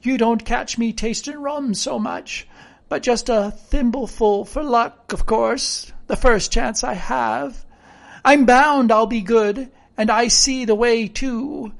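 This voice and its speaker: A woman's voice: fairly high pitch, slow pace, creaky, pitched above the performer's own voice. A woman voicing a half-crazed marooned sailor from an audiobook, a sly, childishly eager man with rustic, ungrammatical speech. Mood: earnest, rambling. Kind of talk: audiobook character voice.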